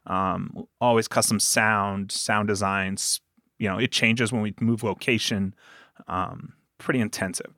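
A man's voice: on the low side, steady tone, unhurried, pushed and bright, dry recording, clean studio sound. A man speaking casually as a producer being interviewed, talkative and emphatic, often repeating a phrase to press a point. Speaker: podcast producer and guest.

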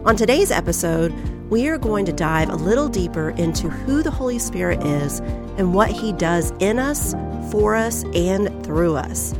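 Nasal, gravelly-voiced woman podcast host. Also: medium pitch, expressive, moderate pace, over music. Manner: earnest, encouraging, reads scripture aloud. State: getting over a head cold.

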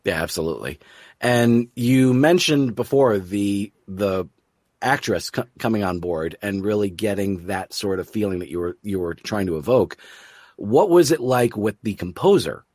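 A man hosting an interview podcast, conversational and affirming, repeating words haltingly as he thinks. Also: lowish voice, lively intonation, a little mellow, no room sound, studio quality.

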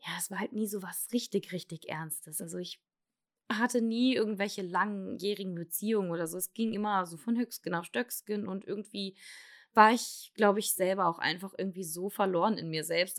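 A young woman from Germany telling her own story in a candid, confessional way, halting often with fillers and restarts. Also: on the low side. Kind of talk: personal testimony.

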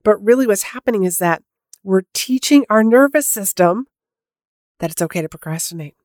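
A woman's voice: mid-range voice, expressive, normal speed, a little mellow, no echo, studio quality. Nasal-sounding woman podcasting with a cold. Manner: chatty, many fillers and restarts. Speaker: podcast host. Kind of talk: casual solo podcast talk.

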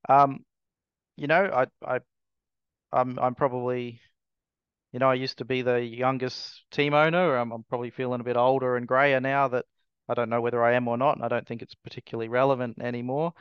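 A man's voice: mid-range voice, flat delivery, a little fast, clear, resonant tone, no room sound, dull audio. A man, answering interview questions as a racing team owner in a hesitant way, full of fillers and restarts.

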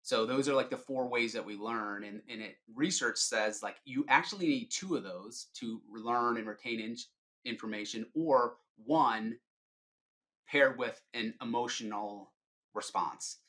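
A man's voice: mid-range voice, measured pace, pushed and bright. A middle-aged man giving informal, explanatory answers as a sales leader being interviewed on a podcast.

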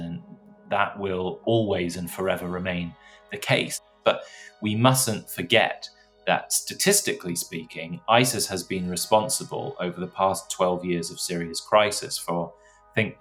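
Male analyst, very low voice, measured and emphatic, faint music underneath. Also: fairly bright tone. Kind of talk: expert commentary in an interview.

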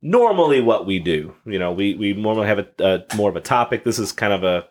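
A man with a lowish voice hosts a casual podcast. He sounds upbeat and speaks directly to his listeners. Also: lively intonation, brisk. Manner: upbeat, talks straight to listeners. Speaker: podcast host.

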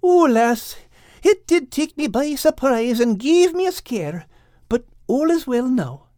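Folksy, animated tomcat. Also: male voice, very high voice, dry recording, clean studio sound, voice pushed up. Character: good-natured, forgiving male cat. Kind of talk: audiobook character acting.

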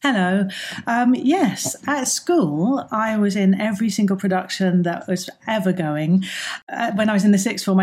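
A woman's voice: medium pitch, mellow. A middle-aged woman, a drama teacher answering interview questions in an enthusiastic, chatty way with frequent ums. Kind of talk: interview guest, recounting her background.

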